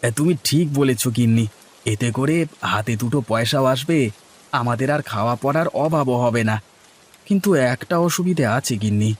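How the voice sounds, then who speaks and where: A man playing a simple, good-hearted village farmer in an acted story, theatrical and folksy with a husky voice. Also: medium pitch, expressive, moderate pace, natural voice. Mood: troubled. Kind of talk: acted Bengali moral story dialogue.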